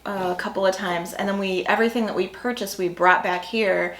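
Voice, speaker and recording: A woman's voice: mid-range voice, steady tone, a little fast, a bit bright, a little hiss or hum. Chatty woman in an echoey room. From Midwestern United States. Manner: chatty and rambling, with fillers and asides. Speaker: food review channel co-host. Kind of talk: casual chat while tasting snacks.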